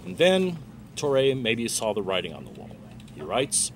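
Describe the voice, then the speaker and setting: A man's voice: medium pitch, wildly swooping pitch, moderate pace, a little hiss or hum. An American man giving wry, theatrical commentary as an economics blogger, in a casual presenting style. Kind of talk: casual commentary with dramatic read-aloud of emails.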